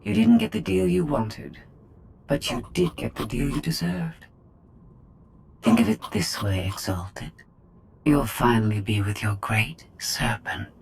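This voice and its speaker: An actress playing a calculating female droid in a video game, speaking in a measured, formal, superior manner. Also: very deep voice, sing-song, creaky, a little mellow, a little hiss or hum, full character voice. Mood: coldly mocking. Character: cold, calculating female droid, proud of her intellect. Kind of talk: scripted video game acting.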